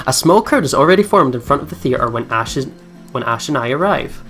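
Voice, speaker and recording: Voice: mid-range voice, expressive, brisk, fairly bright tone. A let's play host reading game text aloud with casual commentary, over game music.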